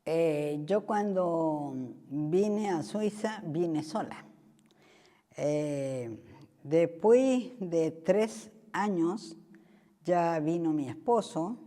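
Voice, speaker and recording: An older woman slowly and hesitantly telling of being widowed, with soft fillers between her phrases. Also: low-pitched. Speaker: interviewee. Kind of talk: personal life story. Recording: reverberant room.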